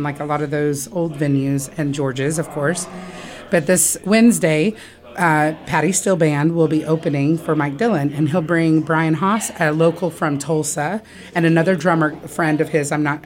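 A woman's voice: low-pitched, a little mellow. A woman musician talking casually and informally as a guest, full of enthusiasm. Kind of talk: casual spontaneous talk.

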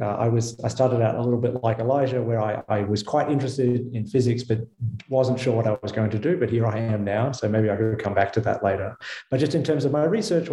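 Man, Australian accent, thoughtful, halting, mellow tone, roomy echo. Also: mid-range voice. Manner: thoughtful, self-correcting, many fillers. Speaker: guest physicist. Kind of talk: radio interview answers.

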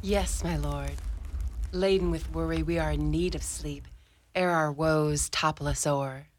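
A woman playing a devoted, anxious wife in an amateur Shakespearean radio drama, speaking in a measured, earnest manner. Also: low voice, fairly even intonation, slight background noise. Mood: tender, weary. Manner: measured, earnest archaic delivery.

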